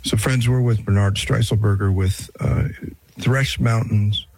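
A man's voice: lowish voice, steady tone, unhurried, darkish tone, dry recording, poor audio. A man hosting a radio show, moving from the interview into a break and a friendly, conversational promo.

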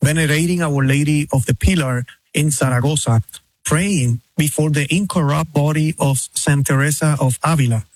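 A man reading a devout invitation to a pilgrimage, measured and slowing on key phrases. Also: a little high, no echo. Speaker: pilgrimage promoter. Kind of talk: read promotional invitation.

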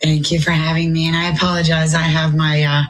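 A woman with a raspy voice chatting casually as an interview guest, halting and a little apologetic. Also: sits low, little pitch movement. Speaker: interview guest, pilgrimage tour coordinator.

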